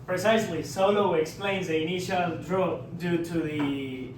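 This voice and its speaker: A man's voice: fairly high pitch, fairly even intonation, a little slow, noisy background, lightly acted. A young man playing a boastful consultant boss. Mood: explaining. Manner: emphatic, showy. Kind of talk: amateur skit acting. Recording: echoey room, far mic.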